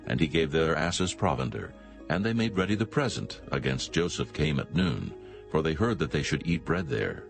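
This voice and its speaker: A man's voice: extremely low pitch, very animated, moderate pace, vocal fry. A man giving solemn scripted narration of scripture. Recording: music behind the voice.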